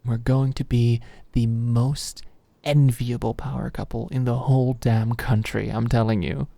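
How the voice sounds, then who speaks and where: A woman's voice: extremely low pitch, steady tone, unhurried, gravelly, mellow, exaggerated voice. A woman playing a cocky, adoring young British businesswoman in a scripted audio roleplay, smug and teasing. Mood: proud, conspiratorial. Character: Alex, cocky, adoring young queer businesswoman celebrating with fiancée.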